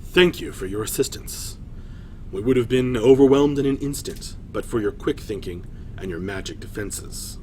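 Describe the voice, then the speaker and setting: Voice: medium pitch, moderate pace, dark tone, a little hiss or hum, natural voice. A man playing a noble warrior king in a fantasy story, speaking formally with gracious command. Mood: grateful, formal. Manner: formal, declarative.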